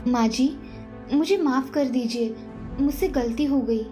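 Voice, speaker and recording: A woman voicing a brash, short-tempered young wife in an animated moral story. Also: sits high, unhurried, soft background music. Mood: apologetic.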